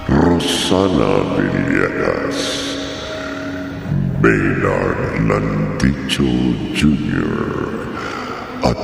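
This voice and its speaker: A man narrating a horror radio drama in an ominous, theatrical, drawn-out manner. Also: a bass voice, vocal fry. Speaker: narrator and announcer. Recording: cavernous reverb, music underneath.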